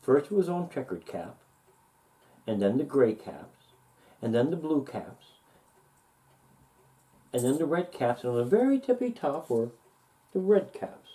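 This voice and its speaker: Male storybook reader, relaxed mellow tone, animated intonation, deliberate sing-song emphasis. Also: mid-range voice, low-quality recording. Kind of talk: expressive children's story read-aloud.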